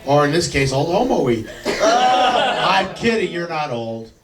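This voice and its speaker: A man's voice: on the high side, big pitch swings, measured pace, very noisy. Joking stand-up man in echoey club. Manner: joking, laughing through punchlines. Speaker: stand-up comedian. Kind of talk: stand-up comedy set. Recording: echoey club, distant mic, crowd.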